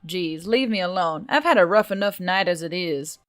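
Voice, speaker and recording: A woman's voice: on the low side, expressive, moderate pace, a bit bright. A woman voicing an irritable, sarcastic young female adventurer in a fantasy story, with a snappy, grumbling manner. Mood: annoyed.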